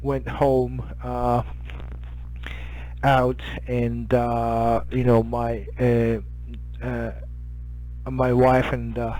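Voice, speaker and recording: Middle-aged man, Japanese accent, amateur radio chat; unhurried, halting delivery with little pitch movement. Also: monotone, darkish tone, faint music behind, poor audio. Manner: halting, filler-heavy, searching for words. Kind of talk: casual radio contact chat.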